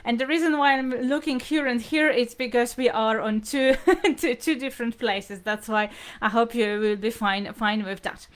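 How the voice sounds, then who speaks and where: A woman's voice: fairly high pitch, moderate pace. A woman hosting an informal live lesson, explaining things to viewers in a hesitant, repetitive way. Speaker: language teacher hosting livestream lesson.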